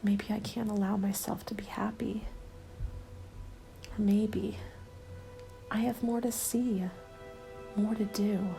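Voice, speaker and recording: A woman narrating a first-person ASMR story in a soft, breathy, mostly whispered voice, with a hushed and deliberate delivery. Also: mid-range voice, little pitch movement, low-quality recording. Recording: soft music under the voice. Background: instrumental music.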